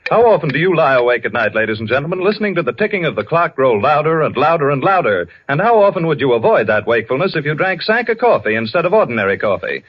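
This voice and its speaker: A man's voice: fairly high pitch, sing-song, fast, hardly any pauses. A man delivering a scripted 1940s radio commercial with dramatic, rhetorical questions. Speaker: radio announcer. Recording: muffled 1940 radio broadcast.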